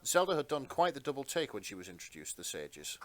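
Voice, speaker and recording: A man's voice: medium pitch, very animated, fast talker, no room sound. A man with a mid-range voice serves as narrator. He reads an adventure story aloud in a dramatic storytelling style.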